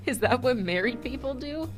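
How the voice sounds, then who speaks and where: A woman's voice: high-pitched, exaggerated voice. A woman playing a sincere, tender mother in an old-time radio drama, speaking earnestly and emotionally. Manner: earnest, emotional, hesitant restarts.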